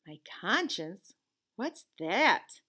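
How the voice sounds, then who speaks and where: A woman's voice: medium pitch, big pitch swings, very slow, sharp tone, full character voice. A woman voicing a startled, sheepish male storybook lizard who protests defensively. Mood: startled, puzzled.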